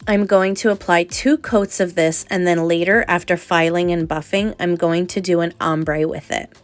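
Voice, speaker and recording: A woman's voice: on the low side, steady tone, moderate pace, dry recording, soft background music. A young adult woman narrating a nail tutorial voice-over in a chatty, explanatory way, sounding slightly nasal from a cold.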